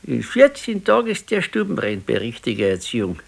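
A man's voice: medium pitch, huge pitch swings, a little fast, creaky, heavily acted. An actor playing a sickly, wistful grandfather in his sixties in a radio play, musing in short colloquial phrases with a Bavarian accent. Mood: wistful, eager. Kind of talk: naturalistic radio play dialogue.

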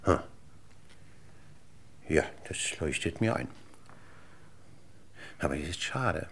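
An actor playing a courteous, measured, persistently probing police inspector in a 1960s German radio play. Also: male voice, sits low, expressive, gravelly, a bit bright, breathy edge, full character voice. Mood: insinuating. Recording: noisy 1960s radio recording, clicks.

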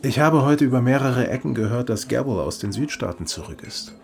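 Radio crime drama: guarded tipster, gravelly mid voice, confidential, matter-of-fact. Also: male voice, medium pitch, animated, fairly quick. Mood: guarded, warning. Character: guarded acquaintance warning an ex-convict.

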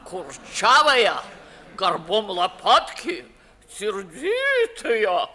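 An imperious old Moscow noblewoman of sixty-five in a classic radio play. She grumbles theatrically in drawn-out phrases, with a sharp, bright edge and sweeping intonation. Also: medium pitch, huge pitch swings, exaggerated voice. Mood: grumbling, imperious. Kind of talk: 1950s Russian classical stage acting.

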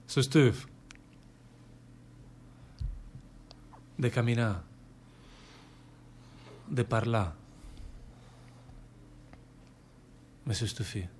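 An actor playing a bitter, weary condemned anarchist emigrant worker with a northern Italian Lombard accent, declaiming in dialect in a radio drama. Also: male voice, medium pitch, unhurried, a little mellow, slight background noise, lightly acted. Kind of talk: stage-style radio drama monologue in dialect.

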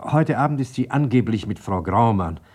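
A man playing a troubled doctor and evasive suspect in a German crime radio drama, speaking in a hesitant, guarded way with a husky voice. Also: mid-range voice, lively intonation, normal speed, a little mellow. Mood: uneasy, hesitant.

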